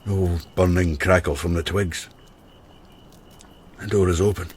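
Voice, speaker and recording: A man playing an earthy, haunted widower in a radio drama, an expressive teller who halts and repeats himself. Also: low voice, unhurried, breathy edge. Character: haunted, earthy widower who cooks and once went mad.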